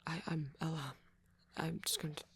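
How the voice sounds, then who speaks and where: A man voicing a shy, gentle vampire boyfriend in an ASMR romance roleplay, stammering hesitantly in a soft, breathy voice. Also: on the high side, level tone, normal speed, slight background noise. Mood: nervous, affectionate.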